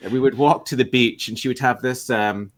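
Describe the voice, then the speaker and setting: A Scottish man in his fifties chatting as an interview guest, halting and reflective, with a self-deprecating manner. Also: mid-range voice, steady tone. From west coast of Scotland. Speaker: guest, entrepreneur and coach. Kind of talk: casual interview chat.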